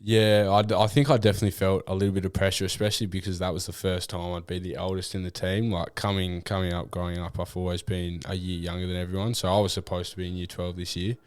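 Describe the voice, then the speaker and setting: Deep, flat-voiced young Australian man. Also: fast talker, few pauses, clean tone. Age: young adult. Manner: relaxed, rambling with fillers and restarts. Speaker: draft prospect guest. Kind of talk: casual interview.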